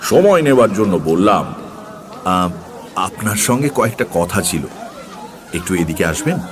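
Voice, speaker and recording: An actor playing a seasoned tantric sage who tells eerie true tales in a measured, unhurried way for an audio drama. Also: male voice, deep voice, sing-song, in a large hall, crowd noise. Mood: measured, uneasy. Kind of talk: dramatised audio story narration. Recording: faint background noise.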